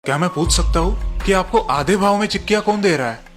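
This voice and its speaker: A man as proud village sweet seller, earnest, plain speech, lightly high pitch, music behind. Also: brisk, natural voice. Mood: surprised. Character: timid, proud, resentful younger village brother selling sweets.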